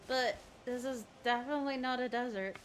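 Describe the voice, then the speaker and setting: A woman's voice: a little high, fairly even intonation, brisk, faint music behind. A woman game streamer giving casual live commentary, musing aloud to herself as she plays.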